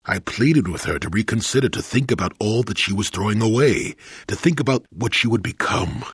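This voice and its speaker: Cantankerous old game mentor, very husky, low-pitched, wry, rueful, rambling storyteller. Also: male voice, animated, fairly quick, no room sound, studio quality. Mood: regretful, sorrowful. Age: older. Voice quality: gravelly, husky. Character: gruff, rueful, cantankerous old male former Jedi. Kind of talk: scripted video game voice acting.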